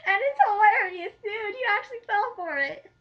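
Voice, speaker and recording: A woman's voice: extremely high pitch, expressive, normal speed. A young teenage girl with a girlish voice chatting casually as a prank caller on muffled webcam audio. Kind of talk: casual phone prank chat. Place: small room at home. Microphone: webcam or laptop microphone.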